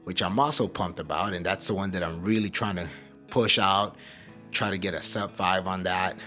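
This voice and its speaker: A man's voice: sits low, steady tone, normal speed, bright, forceful tone, faint music behind. A man from El Salvador hosting a podcast, speaking casually and motivationally in an earnest, emphatic way. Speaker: podcast host.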